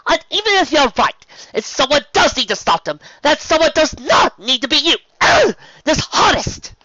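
A man's voice: very high-pitched, expressive, moderate pace, a bit bright, slightly rough, no echo, lo-fi sound, voice pushed up, exaggerated voice. A man voicing a prissy, overprotective female unicorn in a storybook reading, melodramatic and easily distressed. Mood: indignant, strained. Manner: melodramatic, stammering when upset.

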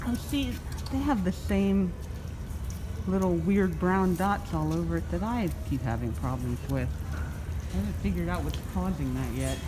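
Chatty woman with a lively voice. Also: on the low side, normal speed, background noise. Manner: chatty, gushing, trailing off mid-sentence. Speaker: vlogger. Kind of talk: casual chat while plant shopping. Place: indoor greenhouse plant nursery.

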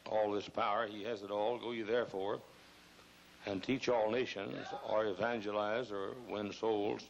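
An older man, hoarse and gravelly, preaching an emphatic sermon at a pulpit microphone in a large church, repeating phrases and rising into loud bursts. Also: lowish voice, flat delivery, moderate pace, highs cut off. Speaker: pastor. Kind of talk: fiery sermon. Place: large church auditorium, choir behind.

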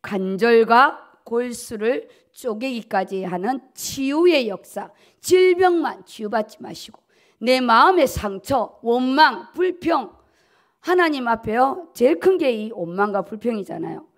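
Woman pastor, middle-aged, fairly high voice, emphatic sermon delivery with repeated key words. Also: animated, a little slow. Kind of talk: sermon, preaching at a dawn service. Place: at a pulpit in a church auditorium. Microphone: podium gooseneck microphones.

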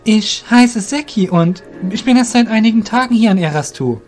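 A man's voice: high voice, expressive, moderate pace, faint music behind, voice pushed up. A man playing an earnest, proud young rogue and magic novice in a fantasy audio drama, speaking in a firm, forthright way. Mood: friendly, curious. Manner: firm, friendly, principled, forthright.

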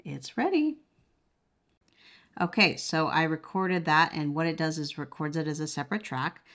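A woman with a notably low-pitched voice talks through a product demonstration. She is casual and conversational, explaining each step as she goes. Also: lively intonation, moderate pace, fairly bright tone. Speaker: product reviewer.

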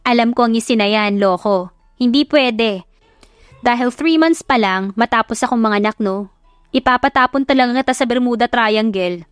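Young wife for an audio drama, high and scolding, voiced by a woman narrator. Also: normal speed, clean tone, no echo, clean studio sound, faint music behind, lightly acted. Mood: teasing. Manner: loud, scolding, teasing. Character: young wife, new mother, teasing.

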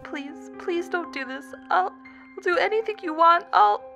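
A woman's voice: very high voice, a little slow, creaky, music in the background, exaggerated voice. A woman playing a terrified young woman who cries as she begs for her life in a horror audio story. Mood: pleading, terrified. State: crying. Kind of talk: scripted horror audio drama.

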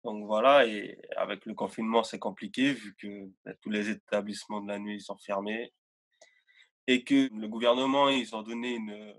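Low-voiced young man with Guianese accent. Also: fairly even intonation, moderate pace, fairly bright tone. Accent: French Guianese. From French Guiana. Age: 20s. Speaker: guest, DJ. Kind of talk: casual chat. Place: indoor room, video call.

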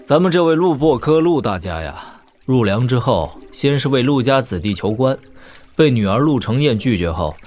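An actor playing a young prince in an audio drama, talking in a casual, wry, teasing manner. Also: male voice, medium pitch, sing-song, normal speed, a little mellow, close to an everyday voice. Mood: wry, confiding.

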